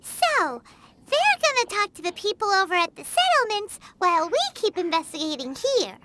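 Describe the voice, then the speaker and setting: A woman's voice: extremely high pitch, very animated, moderate pace, very noisy. A woman voicing a small, chirpy, eager fairy companion with a childlike manner in a video game. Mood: earnest. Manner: refers to self in third person, chatty. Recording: music behind the voice.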